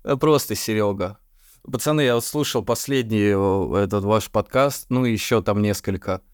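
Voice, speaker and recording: A man with a baritone voice, a podcast guest telling stories in casual, slangy, swearing chat and laughing often. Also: normal speed, clean tone, no room sound, studio quality.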